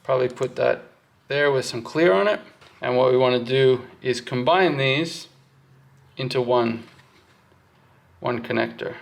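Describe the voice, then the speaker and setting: A man's voice: medium pitch, unhurried, vocal fry, pauses often. A man giving a casual hands-on walkthrough, thinking aloud informally as he works. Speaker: host of a hands-on wiring walkthrough. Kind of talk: casual workshop explanation while working.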